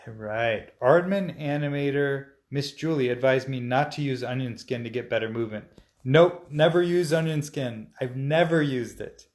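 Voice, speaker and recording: A man in his forties, a stop-motion animator, answering viewer questions in a relaxed, chatty way with frequent fillers and restarts. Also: mid-range voice, normal speed. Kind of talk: casual live-stream Q&A, reading viewer comments aloud.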